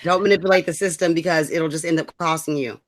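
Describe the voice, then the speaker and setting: A woman's voice: low voice, steady tone, quick pace, dry recording. A woman co-hosting a rant show in casual banter, blunt and mocking, swearing freely. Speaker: co-host of a political rant show.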